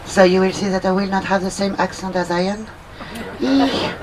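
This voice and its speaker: A woman's voice: mid-range voice, normal speed, crowd noise. A woman from Normandy with a French accent, giving a chatty guided tour and stopping to explain terms. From Normandy, France. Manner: chatty, explains terms, asks listeners to check they follow. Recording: distant voice with noisy vehicle background.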